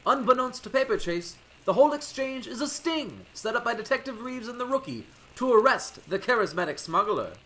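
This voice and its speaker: An actor playing an excitable old-time radio announcer, giving florid, theatrical scripted narration. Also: male voice, very high voice, a little fast, few pauses, full character voice, rough recording. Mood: dramatic. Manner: theatrical, florid scene-setting.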